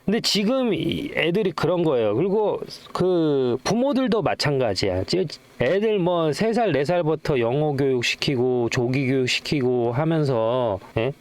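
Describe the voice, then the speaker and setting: Animated middle-aged man, chatty stock commentary. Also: mid-range voice, dry recording. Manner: chatty, rambling, poses questions and answers them himself. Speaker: stock channel host. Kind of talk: casual off-the-cuff stock commentary.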